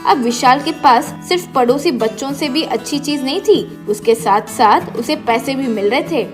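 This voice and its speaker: A woman narrates a scripted tale in a high-pitched voice. Music plays underneath her storytelling. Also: moderate pace.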